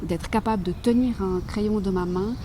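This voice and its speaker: Halting, reflective woman writer. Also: mid-range voice, fairly even intonation, dark tone, slight background noise. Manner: thoughtful, halting, many restarts and fillers. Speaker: writer, interview guest. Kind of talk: reflective interview talk.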